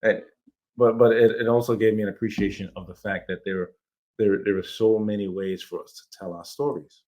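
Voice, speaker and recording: A man's voice: lowish voice, fairly even intonation, fairly quick, darkish tone, muffled recording. A middle-aged man from Jamaica, raised in New York, telling stories as a guest in a casual interview, enthusiastic and rambling. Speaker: playwright, guest.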